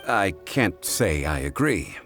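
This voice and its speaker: An actor playing a calm, learned gentleman scholar in a video game, formal, measured and courteous. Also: low-pitched, full character voice. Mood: composed. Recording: background music under the voice.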